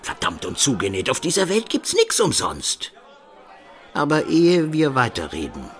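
An actor playing a shrewd, earthy medieval townsman in a radio play, explaining things emphatically to a friend. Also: fairly high pitch. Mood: defiant, gruff. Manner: emphatic, explanatory, checking the listener follows.

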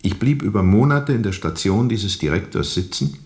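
An actor playing a brooding steamboat captain in a radio play, telling his story in a reflective, deliberate, formal and disillusioned way. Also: lowish voice, expressive, a little mellow, close to an everyday voice. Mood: brooding recollection. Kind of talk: radio play narration, literary monologue.

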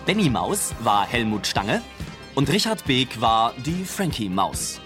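Male announcer for radio play credits, mid-pitched, scripted delivery, prominent music underneath. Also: very animated, slow.

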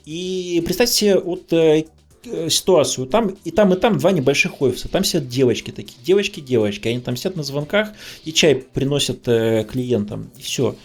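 A man's voice: on the high side, lively intonation, normal speed, faint music behind. A man in a casual tech discussion, talking informally like someone telling stories from work. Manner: informal, storytelling. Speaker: podcast guest.

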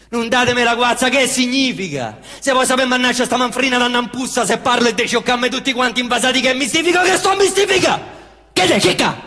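A man acting a young Roman street hustler in a stage monologue, with a Roman accent, veering from muttering to shouting and cursing. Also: sits high, heavily acted. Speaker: stage actor. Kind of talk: theatrical monologue. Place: theatre stage. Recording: echoey theatre reverb.

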